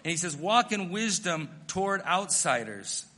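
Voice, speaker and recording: A middle-aged American missionary pastor preaching a sermon, telling stories in an earnest, self-deprecating way. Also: male voice, high voice, sing-song, measured pace, bright, forceful tone, in a large hall. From United States.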